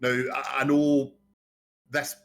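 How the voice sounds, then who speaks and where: A man's voice: medium pitch, slow pace, creaky. A man, a guest on a podcast, telling stories candidly and easily. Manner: relaxed, candid storytelling with fillers. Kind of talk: casual podcast chat.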